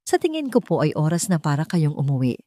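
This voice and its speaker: A woman voicing a calm old male caretaker, courteous and quietly unyielding, in an audio drama. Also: low voice, a little fast, no echo, clean studio sound. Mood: firm.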